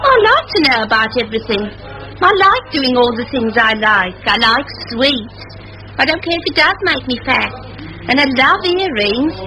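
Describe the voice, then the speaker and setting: High-voiced saucy village girl. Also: sing-song, normal speed. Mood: cheeky, boastful. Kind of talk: old radio play acting. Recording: muffled, hissy old radio recording.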